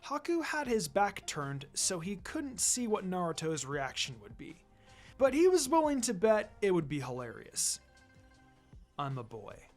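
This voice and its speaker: Male story narrator, fairly high voice, lively intonation, music bed. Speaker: fan fiction reader. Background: background music.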